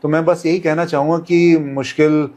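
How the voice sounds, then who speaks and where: A man who works as a radio presenter, answering interview questions in measured, courteous, formal phrasing with English words mixed in. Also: fairly high pitch, flat delivery, a little mellow. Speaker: radio presenter, podcast guest.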